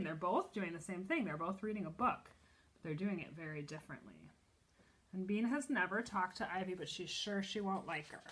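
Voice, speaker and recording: A woman teacher reading a children's chapter book aloud, expressively, pausing to explain and ask questions. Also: mid-range voice, a bit bright, slight background noise. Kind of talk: children's storybook read-aloud.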